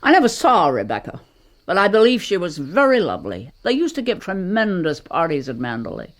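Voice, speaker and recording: A woman's voice: on the low side, wildly swooping pitch, a little fast. An actress playing a gossipy, overbearing older society woman in a radio drama, speaking in a grand, emphatic, chatty way. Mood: gossiping, confiding.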